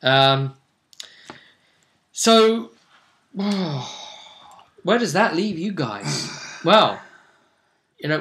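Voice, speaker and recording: A man's voice: medium pitch, a bit bright. A man acting as game master, casually recapping the story of a tabletop role-playing game.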